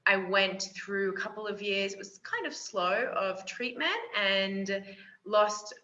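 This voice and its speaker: A woman's voice: mid-range voice, fairly even intonation, slow, pushed and bright. A woman, speaking openly and reflectively as an interview guest. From Australia. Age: 20s. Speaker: guest, yoga teacher and confidence coach. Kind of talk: interview conversation. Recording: roomy, dull sound.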